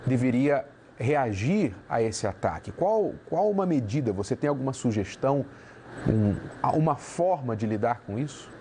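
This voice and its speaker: Brief: male host, mid-pitched voice, polite moderator, faint noise behind. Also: expressive, moderate pace, warm tone. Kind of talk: talk show hosting.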